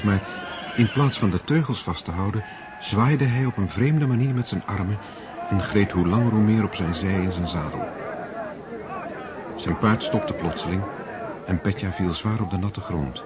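A male narrator of a radio play with a deep voice, reading scripted literary prose. There is clear noise and ambience behind him. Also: moderate pace, highs cut off.

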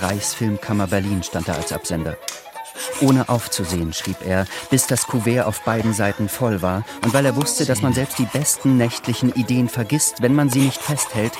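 Low-voiced male radio drama narrator. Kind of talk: scripted literary radio narration. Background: faint music.

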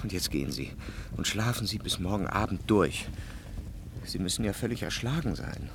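Low-voiced, controlling gentleman lover. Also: lively intonation, moderate pace, noisy background. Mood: tender, commanding. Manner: formal, insistent. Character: anguished, controlling gentleman lover, formal and evasive. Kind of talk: radio drama dialogue.

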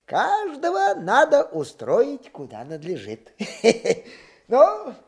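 An actress playing a kindly, quaint old mortuary attendant in a Soviet radio drama, with a creaky, husky voice. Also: female voice, high voice, huge pitch swings, slow pace, darkish tone, heavily acted. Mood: cheerful, chatty.

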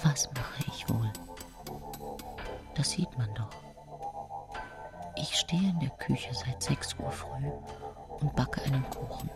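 A brooding, envious murderess thinking aloud in a radio drama. She whispers slowly and breathily, with hushed intensity. The voice sits very deep, over clearly audible music. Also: expressive, exaggerated voice. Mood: quietly menacing. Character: envious, brooding woman poisoner sharing her secret thoughts. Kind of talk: radio drama inner monologue.

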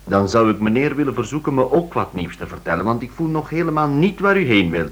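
Grumbling messenger with lively intonation. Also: male voice, medium pitch, quick pace. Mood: wary. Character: grumbling, plain-spoken working man posing as telegram messenger. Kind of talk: radio play acting. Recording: radio play with loud crowd behind.

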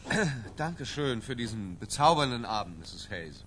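An actor playing a polite, evasive middle-aged lodger in a radio drama, formal and hesitant. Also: male voice, fairly low pitch, very animated, unhurried, noisy background. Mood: evasive, awkward.

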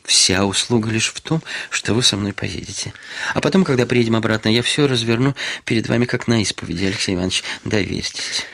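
An actor playing a fawning, insistent widower in a Russian radio play, flustered and servile, with old-fashioned hissed politeness. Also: male voice, on the low side, brisk, creaky, a bit bright. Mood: coaxing, servile. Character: fawning, fussy, insistent widower eager to remarry. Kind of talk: Russian radio play acting of a literary classic. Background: music.

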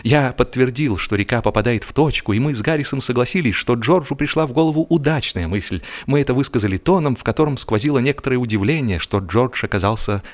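A man's voice: medium pitch, expressive, fast, hardly any pauses. A man narrating a humorous story expressively, in an ironic, mock-serious manner.

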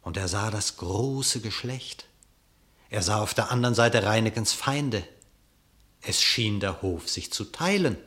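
A male narrator tells a verse story for a classic radio drama. His voice sits on the low side, with formal scripted delivery. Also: expressive, unhurried, fairly bright tone.

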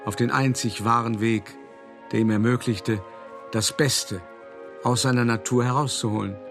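A man's voice: medium pitch, steady tone, moderate pace, music in the background, natural voice. An actor playing a self-justifying art dealer who recounts his past in a genteel, earnest way, as a monologue in a German radio play. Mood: self-righteous conviction.

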